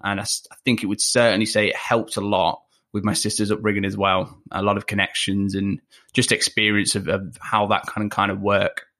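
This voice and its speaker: A young man from Leicester talks loosely, his speech thick with ums and self-deprecating asides. His voice sits a little low, and he sounds like a guest on a remote podcast call from home. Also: flat delivery, brisk, bright, forceful tone. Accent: Leicester English. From England. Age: 20s. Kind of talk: casual interview chat.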